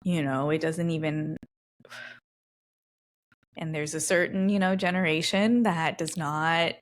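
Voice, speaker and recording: A woman's voice: deep voice, fairly even intonation, unhurried. A woman hosting a podcast interview, reflecting aloud and thinking through ideas with frequent restarts. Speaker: podcast host. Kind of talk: conversational interview.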